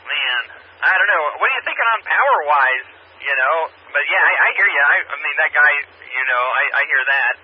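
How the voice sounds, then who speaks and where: Over a dull, noisy radio repeater, a man with a mid-pitched voice chats casually. He speaks as a hobby radio operator, rambling and halting as he thinks aloud. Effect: two-way radio transmission. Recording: muffled, noisy radio repeater picked up by scanner.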